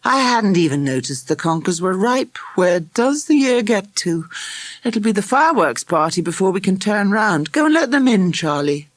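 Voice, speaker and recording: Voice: medium pitch, very animated, moderate pace, no room sound, pitched above the performer's own voice, natural voice. A storybook reader voicing a perceptive, easygoing mother who speaks lightly and matter-of-factly. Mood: light, breezy.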